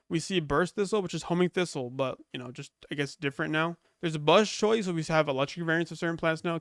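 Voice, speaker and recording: A man's voice: on the high side, smooth, no echo, studio quality. A young man recovering from a cold, rambling casually with lots of fillers as he goes over game leaks. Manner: rambling, many fillers and restarts. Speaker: gaming YouTuber. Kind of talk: casual commentary reviewing leaks.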